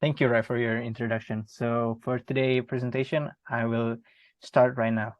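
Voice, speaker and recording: A young man with an Indonesian accent, a student conducting a semi-formal interview in a halting, polite manner. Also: mid-range voice, flat delivery, no room sound. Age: young adult.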